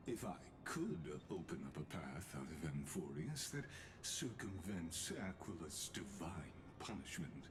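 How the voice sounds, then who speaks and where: A very low voice for a mysterious, courteous game elder. The delivery is formal and deferential, with richly varied intonation, over clearly audible background noise. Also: male voice, exaggerated voice. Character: courteous, enigmatic machine-kin male elder, formal and deferential. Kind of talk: video game voice acting.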